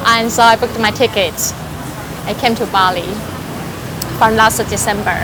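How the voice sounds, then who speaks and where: A woman's voice: a little high, fairly even intonation, normal speed. Woman with a noisy background. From Taiwan. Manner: chatty, with frequent fillers and light laughs. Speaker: interview guest, life and business coach. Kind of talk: casual interview answers.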